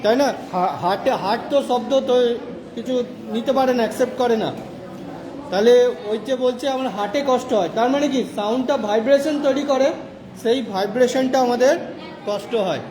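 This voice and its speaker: A man's voice: very high-pitched, a little fast, crowd noise. A man teaching a science class, repeating points and questioning the class. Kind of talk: classroom lesson with demonstration. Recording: echoey noisy room, distant mic.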